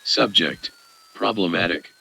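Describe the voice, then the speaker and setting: Deep, bright-voiced male narrator. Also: unhurried, creaky. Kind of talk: scripted narration, announcing posts.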